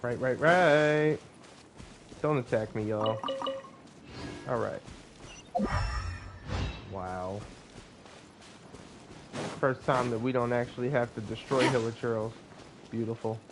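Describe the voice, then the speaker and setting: A man streams a game in an informal, mid-pitched voice. He reacts in short, casual asides while game music plays clearly behind him. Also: slow pace, pauses often. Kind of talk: casual gameplay commentary.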